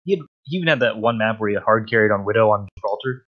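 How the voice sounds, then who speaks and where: Male panelist, quick and offhand, muffled lo-fi mic. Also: fairly low pitch, lively intonation. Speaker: podcast guest panelist. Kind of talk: casual gaming podcast chat. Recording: slightly dull, lo-fi mic.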